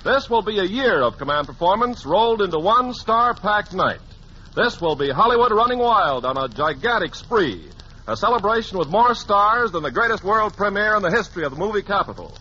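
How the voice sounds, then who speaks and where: An American man announces a 1940s radio variety show. His voice is high and forcefully bright, carried on a dull old broadcast recording with faint noise. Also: sing-song, brisk.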